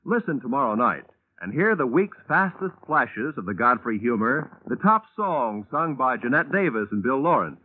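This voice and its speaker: A man's voice: mid-range voice, phone-quality sound. A man giving a polished, emphatic announcement in a 1950s radio broadcast.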